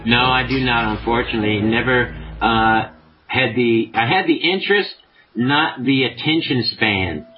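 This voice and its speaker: A man's voice: mid-range voice, a little slow, highs cut off, slight background noise. Laid-back, profane man guest. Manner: laid-back, profane, rambling with many fillers. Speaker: podcast guest, show promoter. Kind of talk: casual chat.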